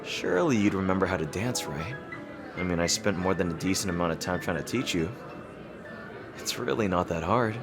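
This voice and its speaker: A man playing a guarded, flustered, gently teasing rival fantasy king in an intimate roleplay audio drama, speaking hesitantly. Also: sits low, over music. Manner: hesitant, halting.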